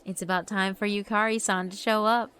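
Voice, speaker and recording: A woman's voice: mid-range voice, little pitch movement, brisk, a bit bright, clear, resonant tone, a faint crowd, close to an everyday voice. A woman voicing a scheming yokai sister who taunts and gloats in a theatrical, cackling manner. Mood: excited. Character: gleeful scheming yokai sister, cruel and mocking.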